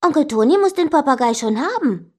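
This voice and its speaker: A woman's voice: high voice, expressive, a little fast, darkish tone, pitched above the performer's own voice. A woman voicing a sly, teasing older sister in a children's story, insistent and emphatic. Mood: insistent, triumphant. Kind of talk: children's story character dialogue.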